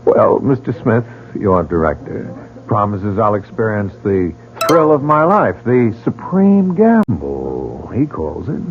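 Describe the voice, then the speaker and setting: A curious but guarded newcomer who questions everything he sees. His lowish voice moves lively, and it comes through narrow and phone-like, like a vintage broadcast. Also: takes it slowly, slight background noise. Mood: wary. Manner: inquisitive, wary. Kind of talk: 1940s radio drama acting. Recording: phone-like old radio broadcast.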